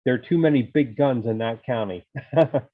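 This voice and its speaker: A man chats casually, telling stories to fellow radio hobbyists over an online call. His voice sits at a middle pitch. The sound is narrow and phone-like, with very little room in it. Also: moderate pace, dry recording. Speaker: amateur radio club member. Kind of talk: casual anecdote in online meeting. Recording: narrow tinny call audio.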